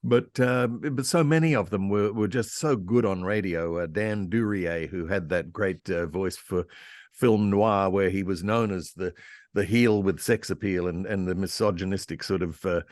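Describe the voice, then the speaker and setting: Low-voiced man, halting raconteur. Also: a little mellow, dry recording, clean studio sound. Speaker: guest radio historian. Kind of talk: animated, anecdotal panel discussion. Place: online convention video call.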